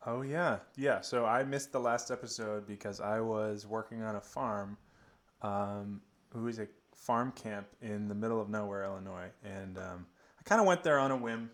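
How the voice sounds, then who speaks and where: A man's voice: on the low side, normal speed, a bit bright. A man in his twenties co-hosting a podcast in a casual, conversational way. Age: 20s. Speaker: podcast co-host. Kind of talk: casual chat.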